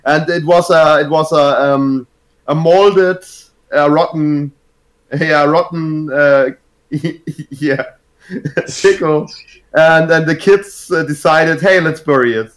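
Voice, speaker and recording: Rambling man with a slightly high voice. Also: takes it slowly, poor audio. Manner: rambling, laughs through stories. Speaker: podcast co-host. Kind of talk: casual chat. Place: hotel room at a convention.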